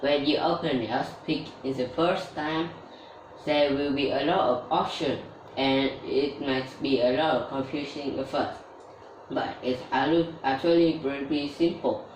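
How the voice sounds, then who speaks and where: A woman giving a scripted tutorial on learning English with steady explanations, including a sponsor read. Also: very deep voice, measured pace. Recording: roomy echo, distant mic, faint background noise.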